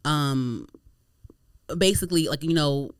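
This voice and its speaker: A woman hosting a podcast in a casual gossip chat, animated, slangy and profane, often repeating herself for emphasis. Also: deep voice, takes it slowly, clean tone, dry recording, studio quality. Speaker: podcast host.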